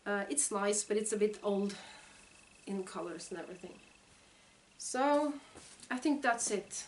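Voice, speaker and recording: A woman's voice: medium pitch, lively intonation, unhurried, a little hiss or hum, poor audio. A woman in her forties with a Norwegian accent, a knitting vlogger talking casually to the camera at home, hesitant and often searching for words. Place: living room at home.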